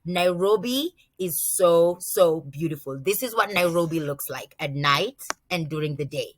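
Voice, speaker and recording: A woman's voice: on the low side, lively intonation, unhurried, slight background noise. A young Kenyan woman teaching a class of children, explaining things simply with examples. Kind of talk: classroom lesson for kids.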